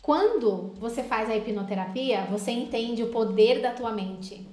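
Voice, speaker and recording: A woman's voice: fairly high pitch, animated, unhurried, a little mellow. A woman, a hypnotherapist, chatting persuasively with a guest and often adding tag questions. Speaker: hypnotherapist host. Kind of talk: casual interview chat. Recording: roomy echo.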